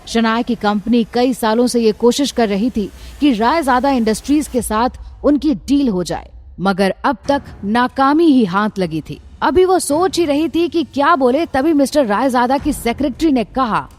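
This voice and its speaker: A woman's voice: fairly high pitch, lively intonation, normal speed, darkish tone. A woman narrating a scripted audio drama as a dramatic storyteller. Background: background music.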